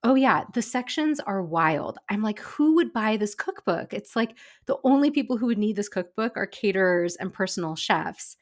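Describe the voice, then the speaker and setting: A woman's voice: medium pitch, lively intonation. A middle-aged woman, a former personal chef, co-hosting a casual cooking podcast, chatty and enthusiastic, slightly stuffy from a cold. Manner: chatty, enthusiastic, quick asides. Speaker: podcast co-host. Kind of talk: casual chat.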